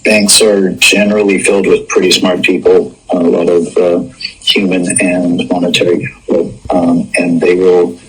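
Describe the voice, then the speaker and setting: A man speaking in a measured, hesitant way in an interview clip. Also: low voice, level tone, fairly bright tone, husky, soft background music, poor audio.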